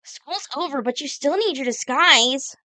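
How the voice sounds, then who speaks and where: A woman's voice: high-pitched, expressive, unhurried, sharp tone, no echo, full character voice. A woman playing an earnest, guilt-ridden teenage girl in a cartoon comic dub, speaking in a sincere, dramatic way. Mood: friendly, wistful.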